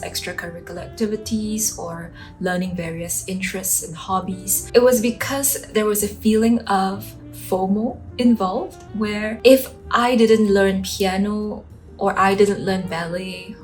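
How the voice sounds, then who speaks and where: Earnest woman in her late twenties. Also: mid-range voice. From Singapore. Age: late 20s. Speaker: vlogger. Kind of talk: reflective personal talk to camera.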